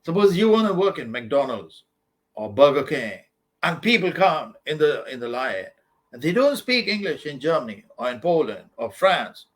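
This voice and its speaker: A man with a fairly high voice gives informal advice in a question-and-answer session. He is emphatic and blunt and keeps repeating his points. He often calls his listeners "man". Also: sing-song, normal speed. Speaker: study-abroad advisor answering student questions.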